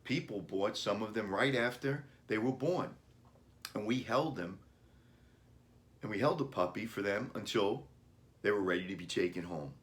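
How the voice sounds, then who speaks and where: A man's voice: fairly low pitch, normal speed, pauses often, natural voice. A man voicing a friendly, helpful pet store clerk in a children's storybook, polite and explanatory.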